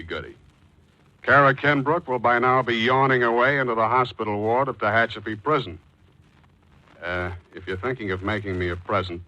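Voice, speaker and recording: A hard-boiled private eye in a vintage 1940s radio drama. His voice is low and forceful, and he tells things in wry, tough slang. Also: highs cut off. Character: wisecracking hard-boiled male private detective. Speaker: lead actor in radio drama. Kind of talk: 1940s radio acting, first-person narration.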